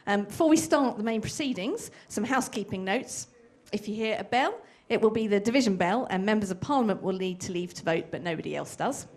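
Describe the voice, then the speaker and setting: A woman's voice: medium pitch, lively intonation, darkish tone. A woman archivist welcoming an audience, going through housekeeping notes and introducing the speaker in a businesslike way. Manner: brisk, businesslike announcing. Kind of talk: formal event introduction.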